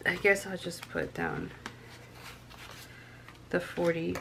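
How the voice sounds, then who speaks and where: A woman's voice: lowish voice, sing-song, slow, creaky, noisy background. A young woman with a stuffy nose from a cold, chatting casually to camera and counting cash aloud with frequent ums. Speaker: budgeting vlogger.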